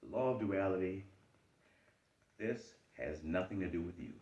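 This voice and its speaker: A man with a very low voice and a Charleston Geechee accent gives a motivational talk. He hammers points home with repetition and lively pitch swings. The room rings around him and the sound is a little dull. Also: normal speed, roomy sound. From Charleston, South Carolina. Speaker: self-help speaker.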